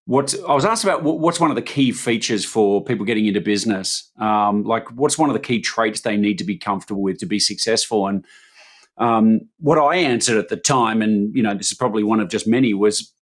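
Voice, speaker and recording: A man's voice: lowish voice, a little fast. A man hosting a business podcast, asking long, rambling questions full of ums and restarts. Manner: hesitant, rambling questions with many fillers. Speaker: podcast host. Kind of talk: conversational podcast interview.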